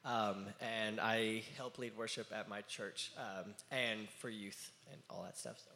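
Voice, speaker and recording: A man in his twenties talks casually with a low but bright, edgy voice. He throws in quick quips. A faint room hum runs underneath. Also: fairly even intonation. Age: 20s. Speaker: drummer. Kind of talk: casual panel talk.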